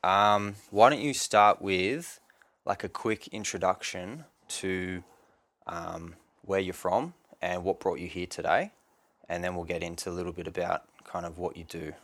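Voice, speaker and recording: A man hosting a podcast, asking questions in a relaxed, hesitant way with many fillers. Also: deep voice, unhurried. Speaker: podcast host. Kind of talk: casual podcast interview.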